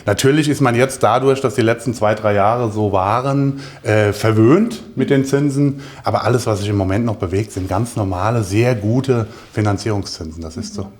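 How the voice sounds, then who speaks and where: A man's voice: on the low side, normal speed, few pauses, a little mellow. A middle-aged man from Hesse explaining things in a matter-of-fact, informal way as a show-home sales adviser. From Hesse, Germany. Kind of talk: explanatory interview answers. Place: show house.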